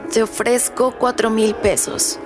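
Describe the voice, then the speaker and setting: A woman playing a frail, commanding old widow in a radio drama, speaking formally and tersely with a creaky voice. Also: on the high side, little pitch movement, measured pace, lightly acted. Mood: imperious, businesslike. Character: frail, imperious old widow, cryptic and insistent. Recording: loud music underneath. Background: music.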